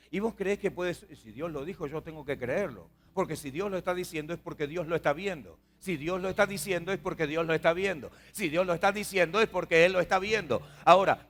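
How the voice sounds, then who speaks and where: A man's voice: high voice, a bit bright. A man with a Rioplatense Spanish accent preaching a sermon as a pastor, conversational and repetitive, putting questions to his listeners. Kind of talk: sermon to a congregation.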